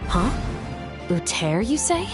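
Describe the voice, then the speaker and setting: An actress playing a confident young patrol officer in a video game, composed, matter-of-fact and dryly wry. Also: female voice, mid-range voice, sing-song, slow pace. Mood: knowing, grim. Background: game music.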